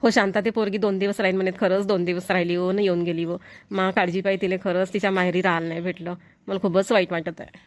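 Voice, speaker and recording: A woman's voice: lowish voice, level tone, quick pace, natural voice. A woman voicing a kindly, grateful elderly village mother-in-law with a Varhadi Marathi accent in an amateur cartoon comedy. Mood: neighbourly, concerned.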